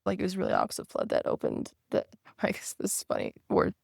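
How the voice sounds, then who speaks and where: A gravelly voice that climbs and falls a lot as the speaker picks their way through a personal story. The delivery is halting and thoughtful, with frequent fillers and restarts. It is recorded close and dry, with no room sound. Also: medium pitch, huge pitch swings, no room sound. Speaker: podcast guest.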